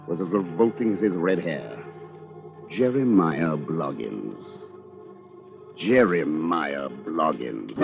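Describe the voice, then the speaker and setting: An actor playing a scheming, precise lawyer in an old radio drama, with coolly confiding delivery. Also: male voice, low voice, vocal fry, heavily acted. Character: scheming, coolly calculating male lawyer narrating his crime. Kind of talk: 1940s radio drama acting, first-person narration. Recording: dull, lo-fi old radio recording.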